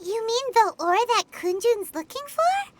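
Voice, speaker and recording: A woman voicing a tiny, childlike fairy companion in a video game, squeaky, curious and blunt. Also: sing-song, unhurried, a little hiss or hum, full character voice. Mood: puzzled. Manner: refers to self in third person, blunt. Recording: game music loud behind.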